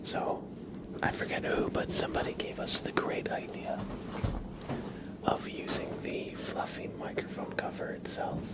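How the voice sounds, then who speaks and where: A man hosting an ASMR livestream, chatting in a hushed, unhurried, soft-spoken whisper close to the microphone. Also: low-quality recording.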